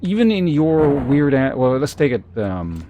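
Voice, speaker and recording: A man's voice: on the high side, big pitch swings, unhurried, muffled recording, faint music behind. A man chatting and commentating on a live game stream in a rambling, wry way, with faint noise on the recording.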